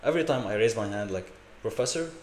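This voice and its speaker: Lebanese young man, low echoey voice. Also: quick pace, slight background noise. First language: Arabic. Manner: relaxed storytelling with fillers. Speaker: guest. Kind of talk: casual interview.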